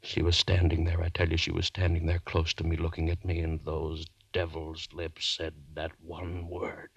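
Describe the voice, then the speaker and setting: A desperate, terrified man condemned to hang, telling of his nightmares. His voice is low and close, with a dry sound and no room around it. The delivery is theatrical and halting, feverish old-time radio horror from the 1940s. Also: completely flat delivery, vocal fry, husky, no echo, lo-fi sound, heavily acted. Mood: agitated, fearful. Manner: theatrical, halting, feverish first-person narration. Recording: 1940s radio broadcast recording.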